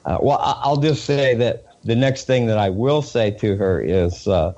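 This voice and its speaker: A man with a Southern US accent, a reporter being interviewed, talking in a halting way with many fillers and repeated words. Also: lowish voice, mellow, muffled recording. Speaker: independent news reporter, guest. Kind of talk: casual interview talk.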